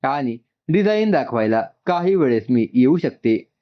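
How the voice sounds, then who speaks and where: A man voicing an earnest young woman designer who pleads with her boss, his pitch lifting a little. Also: big pitch swings, unhurried, lo-fi sound. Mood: pleading.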